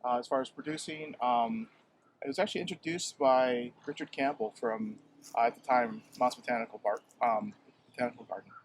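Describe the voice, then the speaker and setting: A man from Hawaii, a home fruit grower, talking in a halting, casual way, often repeating words and filling pauses with um, recorded outdoors in a yard. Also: medium pitch, a little hiss or hum. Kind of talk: casual interview.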